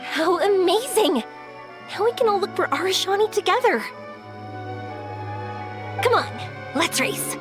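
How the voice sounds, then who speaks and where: Very high, playful young woman. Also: unhurried, long pauses. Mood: cheerful, playful. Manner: animated, playful. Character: bright young woman, playful, encouraging, adventurous. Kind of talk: video game voice acting. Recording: music behind the voice.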